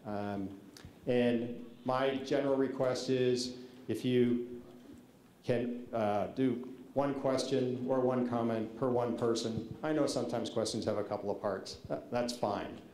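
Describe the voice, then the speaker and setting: A man's voice: mid-range voice, fairly even intonation, measured pace, a little mellow. A man facilitating a public meeting, laying out the ground rules informally and politely, with lots of ums. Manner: informal, many fillers, polite requests. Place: large public meeting hall. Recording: echoey meeting hall, faint noise.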